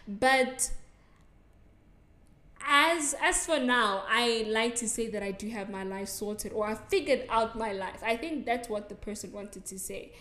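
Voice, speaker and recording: A woman's voice: fairly high pitch, a little slow, pushed and bright. A young South African woman with a South African accent, hosting a solo podcast in a chatty, giggly way full of likes and ums. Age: young adult. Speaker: podcast host. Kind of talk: solo podcast answering listener assumptions. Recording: echoey room.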